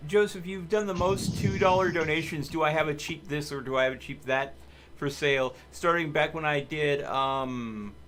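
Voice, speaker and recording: A man's voice: fairly high pitch, moderate pace, fairly bright tone, slight background noise. A man hosting a casual game livestream, chatting and thinking aloud in a rambling way. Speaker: livestream host. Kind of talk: casual chat while live streaming a video game.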